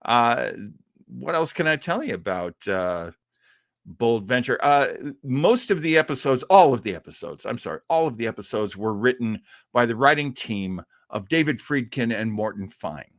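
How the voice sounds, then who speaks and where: Bright, dry-sounding American male host. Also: mid-range voice, sing-song, no room sound. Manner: conversational, halting, explanatory. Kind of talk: informal live talk on radio history.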